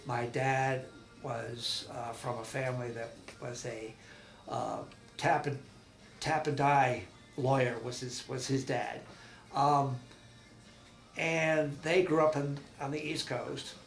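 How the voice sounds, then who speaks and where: A man in his sixties from Massachusetts, recalling his life in a halting, rambling oral-history interview at home. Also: mid-range voice, fairly even intonation, gravelly, roomy sound, soft background music. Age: 60s. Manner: rambling, halting with many ums and restarts. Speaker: veteran interviewee.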